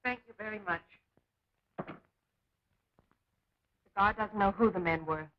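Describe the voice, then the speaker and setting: A woman playing a crisp, businesslike young working woman in a 1940s film serial. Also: mid-range voice, unhurried, natural voice. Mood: brisk, polite. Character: brisk young working woman, an exasperated sweetheart. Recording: dull lo-fi 1940s serial soundtrack.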